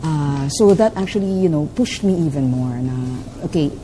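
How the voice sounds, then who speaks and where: A woman with a Filipino accent and a low, deep voice, talking as a coach in an interview. She explains fluently, with plenty of fillers and a habitual "no?" at the end of her points. There is audible background noise around her voice. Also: lively intonation, normal speed, mellow. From Philippines. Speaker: life coach and trainer. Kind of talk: interview answers, mixing English and Filipino.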